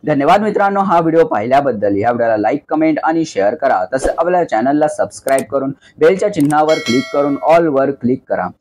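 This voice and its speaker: A man's voice: on the high side, expressive, quick pace, hardly any pauses, a little mellow. A man narrates a step-by-step tech tutorial as a channel host, explaining things to viewers as friends. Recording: clearly noisy voice-over recording.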